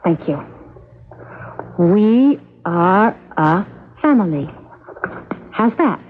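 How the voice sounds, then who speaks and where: An actress playing a merry, restless, willful farm daughter with childlike, headstrong bluntness in a radio drama. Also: female voice, mid-range voice, expressive, very slow, a little hiss or hum. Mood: innocent, bright. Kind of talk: 1970s radio drama acting. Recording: dull lo-fi radio broadcast recording.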